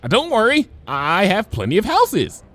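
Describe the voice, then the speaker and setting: A frantic, high-pitched female pony, acted out by a man reading a story. The voice leaps around wildly, nervous and flustered, pleading as a jittery storybook character. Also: huge pitch swings, unhurried, a bit bright, heavily acted. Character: nervous, panicky young female pony, reluctant conspirator.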